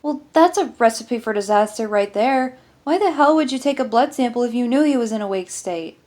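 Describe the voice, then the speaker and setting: A woman's voice: on the high side, animated, brisk, darkish tone, pitched above the performer's own voice, lightly acted. A woman voicing a harried, blunt doctor who takes charge in an audiobook story, speaking urgently. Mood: alarmed, scolding.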